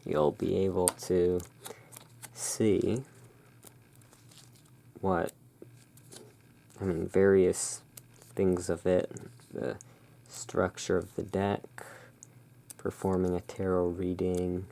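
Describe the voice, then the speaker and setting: A person speaking in a halting, musing way, explaining things as the owner of a gothic curiosity shop in an ASMR roleplay. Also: low voice, monotone, creaky, dark tone. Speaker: roleplaying host. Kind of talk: ASMR roleplay, showing tarot cards.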